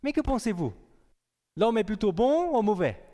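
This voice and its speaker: Young male preacher, fairly high voice, chatty with the congregation, reverberant church hall. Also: very animated, moderate pace, dark tone. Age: young adult. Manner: conversational, asks the congregation questions. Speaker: pastor. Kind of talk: sermon.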